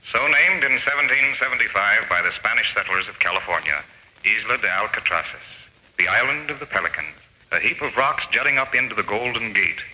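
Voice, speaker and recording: A man's voice: on the low side, bright, forceful tone. A man playing a stern, formal narrator in a 1940s radio drama, declaiming on a noisy, reverberant old recording.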